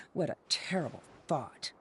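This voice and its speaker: A woman's voice: low-pitched, big pitch swings, takes it slowly, slight background noise, heavily acted. An actress playing a proud young female scholar in a video game, snide and teasing in a self-assured way. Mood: mocking.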